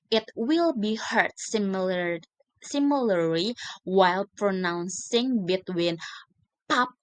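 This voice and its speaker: A young woman with an Indonesian accent, a native Indonesian speaker, giving a scripted student presentation in careful, halting, hesitant English. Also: medium pitch, dry recording, poor audio. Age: young adult. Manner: halting, careful word-by-word delivery with frequent hesitations.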